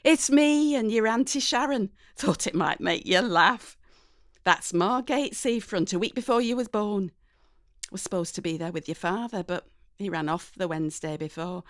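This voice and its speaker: A woman's voice: medium pitch, normal speed, dry recording, clean studio sound, close to an everyday voice. A woman playing a colloquial, defensive mother of a teenager in an audio story. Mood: gentle, chatty. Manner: shouting, scolding, colloquial.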